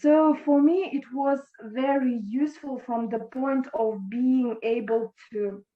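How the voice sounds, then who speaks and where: A young woman whose first language is Russian, speaking English as an interview guest, halting and restarting her words. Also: high voice, steady tone. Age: young adult. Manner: halting, with frequent restarts and stammers. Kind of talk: video call interview. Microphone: video call. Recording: echoey, dull lo-fi video call.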